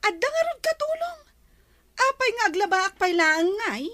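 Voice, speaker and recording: A woman's voice: extremely high pitch, sing-song, moderate pace, sharp tone, heavily acted. A woman with an Ilocano accent playing a lazy, flippant young wife in a radio-style drama. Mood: whiny, defensive. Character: lazy, flippant young wife obsessed with social media reels.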